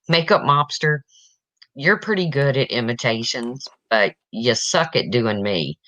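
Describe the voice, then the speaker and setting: A woman from the Midwest with a deep, raspy voice and a bright, forceful edge, talking bluntly and easily. The sound is dry and a little dull. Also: animated, slow pace, no echo. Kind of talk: casual chat.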